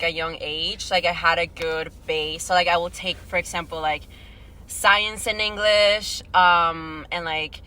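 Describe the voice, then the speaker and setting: A woman's voice: lowish voice, pushed and bright. A woman in her late teens from Venezuela, a native Spanish speaker, chatting casually as a podcast guest with lots of filler "like". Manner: chatty, peppers talk with "like". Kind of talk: casual conversational interview answers.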